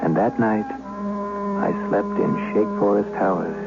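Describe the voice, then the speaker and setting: An actor playing a grave, troubled steward in an old radio drama, speaking in a measured, formal storytelling way. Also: male voice, medium pitch, huge pitch swings, vocal fry, full character voice. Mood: somber, reflective. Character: grave grown steward narrating his guilty past. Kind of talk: scripted first-person radio drama narration. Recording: very noisy, dull vintage radio broadcast.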